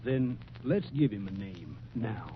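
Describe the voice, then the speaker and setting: An actor playing a remorseful, loyal American desert prospector with folksy, halting speech in an old-time radio western. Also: male voice, lowish voice, animated. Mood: remorseful, tender. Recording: muffled, narrow-band old radio, faint noise.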